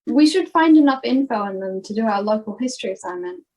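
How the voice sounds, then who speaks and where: A woman's voice: on the high side, expressive, quick pace, dark tone. An Australian girl of about ten playing a sensible, careful modern schoolgirl in an amateur radio play, recorded at home. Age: 10 to 11, child. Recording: home video-call recording with music.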